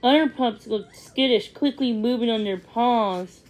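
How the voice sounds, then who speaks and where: A woman reading a children's story aloud as a read-along storyteller, in a halting, word-by-word way. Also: on the high side, low-quality recording.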